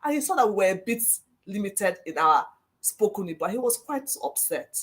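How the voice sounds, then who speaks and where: A Nigerian woman telling lively stories from her childhood and parenting in a casual chat. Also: medium pitch. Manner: animated, quick storyteller who laughs. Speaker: parent in a discussion. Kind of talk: casual storytelling.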